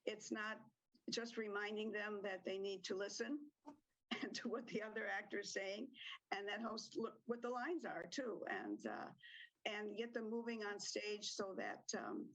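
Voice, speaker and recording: Woman director, gravelly, hesitant answers on a TV interview. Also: medium pitch, measured pace, low-quality recording. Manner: hesitant, many fillers. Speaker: theater director.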